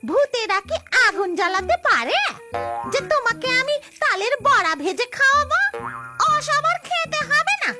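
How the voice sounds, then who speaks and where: A woman voicing a scornful, menacing ghost wife in a children's cartoon, scolding and taunting. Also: very high voice. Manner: scolding, threatening, drawn-out taunts. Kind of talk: Bengali children's cartoon voice acting.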